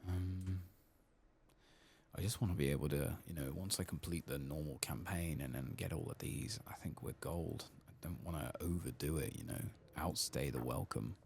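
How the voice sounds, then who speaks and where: A man giving soft-spoken, sometimes whispered ASMR commentary as he plays a video game, muttering casually to himself. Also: a bass voice, moderate pace, gravelly, a little hiss or hum. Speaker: streamer playing a video game.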